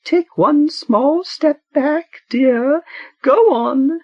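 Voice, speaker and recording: A man's voice: a little mellow, dry recording, muffled recording, heavily acted. A man doing a sweetly deranged old lady killer. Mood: sweetly menacing. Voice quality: high falsetto, sugary and slow. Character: genteel elderly woman murderer, sweetly deranged. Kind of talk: audiobook narration.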